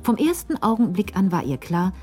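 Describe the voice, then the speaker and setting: A woman narrating a scripted German radio crime drama in a measured, literary delivery. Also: mid-range voice, sing-song, mellow.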